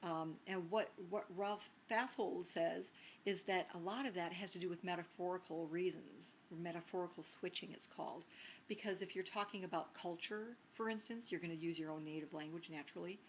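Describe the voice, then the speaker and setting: A woman's voice: fairly low pitch, fairly even intonation, fairly quick, a little hiss or hum. A woman teacher giving a recorded lecture in a conversational way, with many ums and restarts and frequent rhetorical questions.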